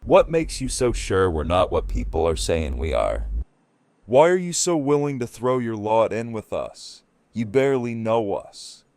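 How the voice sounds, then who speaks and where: A man in an audio drama playing a grim, wary leader of a survivor group, speaking in a measured, intense manner. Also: fairly low pitch, very animated, a little mellow, no room sound. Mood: wary, challenging.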